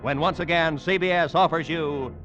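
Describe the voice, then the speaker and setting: A man's voice: on the high side, moderate pace, fairly bright tone, highs cut off. A man reading as a formal, polished 1940s radio announcer with emphatic delivery. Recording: band-limited old radio recording. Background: music.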